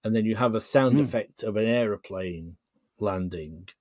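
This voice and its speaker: Male podcast guest, fairly deep register, thoughtful and halting explainer, casual conversation, no room sound. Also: a little mellow, no room sound. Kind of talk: casual interview chat.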